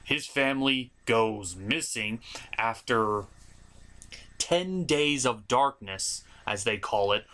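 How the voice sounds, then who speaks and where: Animated, bright-voiced man reviewing cartoons. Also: mid-range voice. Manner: emphatic, opinionated, casual and blunt. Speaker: host of a cartoon review show. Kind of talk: enthusiastic scripted video review with casual asides.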